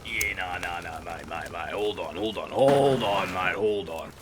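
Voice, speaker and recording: A man's voice: deep voice, a little slow. A man playing a blunt mate. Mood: insistent. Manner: blunt, crude, repeats himself when insisting. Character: blunt, cautious adult male survivor mate. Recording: noisy game audio with crickets and clicks.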